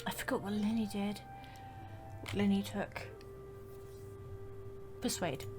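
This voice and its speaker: A woman's voice: medium pitch, slow pace, over music. A woman giving casual reactions and short comments while watching a game unfold.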